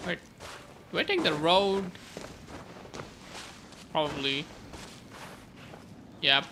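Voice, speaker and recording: A woman's voice: sits low, big pitch swings, very slow, background noise. A woman streaming a video game with casual commentary, teasing and talking back to the game.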